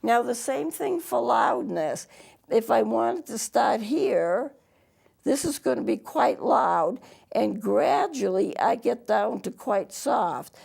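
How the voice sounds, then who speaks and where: A woman's voice: on the high side, lively intonation, slow pace, gravelly, a little mellow, slightly rough. A woman teaching a televised music lesson, explaining terms patiently and spelling them out letter by letter, her voice throaty as she is losing it. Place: small TV studio.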